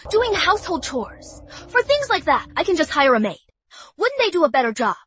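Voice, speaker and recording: A woman's voice: high voice, very animated, moderate pace, fairly bright tone, soft background music. A haughty rich career woman. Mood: scornful. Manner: haughty, contemptuous, raised voice.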